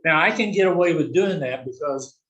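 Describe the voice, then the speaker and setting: A man's voice: a little high, moderate pace, a bit bright, lo-fi sound. Folksy male teacher in an echoey classroom. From south-central US. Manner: folksy, corny jokes, keeps checking in with "right?". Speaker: algebra instructor. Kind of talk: classroom lecture. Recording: echoey classroom, distant mic.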